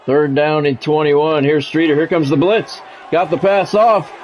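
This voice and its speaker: A man's voice: fairly high pitch, fairly even intonation, lo-fi sound, a faint crowd, a little hiss or hum. Man streaming casual football game commentary. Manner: halting, repeats words and phrases; blunt, casual swearing. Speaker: video game live streamer. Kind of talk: casual chat mixed with football play-by-play.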